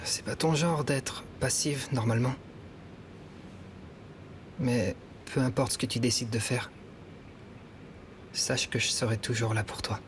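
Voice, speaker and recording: A man playing a loyal, laid-back teenage boy in a French video game dub, casual and gentle. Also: medium pitch, steady tone, a little slow, long pauses, slight background noise, natural voice. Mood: reassuring. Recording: music behind the voice.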